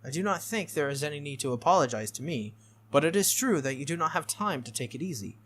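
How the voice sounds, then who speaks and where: A man's voice: a little high, lively intonation, quick pace, fairly bright tone, a little hiss or hum. A man voicing a stern, dutiful young female knight, speaking politely and formally while reading a visual novel aloud. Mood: formal, firm.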